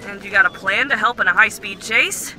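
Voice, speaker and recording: A woman's voice: mid-range voice, a little fast, bright, forceful tone, rough recording. A woman playing a teasing, wry sidekick with a playful, droll manner in an audio drama. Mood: cautioning. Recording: music under the voice.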